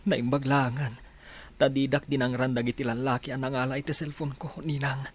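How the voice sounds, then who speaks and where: A man's voice: mid-range voice, little pitch movement, normal speed, breathy edge, lightly acted. A man with a Filipino accent playing a meek, lovelorn young man in a radio drama. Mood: sad, confiding.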